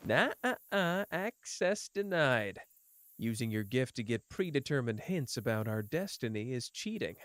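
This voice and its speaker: A man's voice: mid-range voice, very animated, measured pace, no room sound. A man voicing a teasing, world-weary young man. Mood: playful. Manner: soft, teasing, drifting between playful and bleak. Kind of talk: ASMR roleplay.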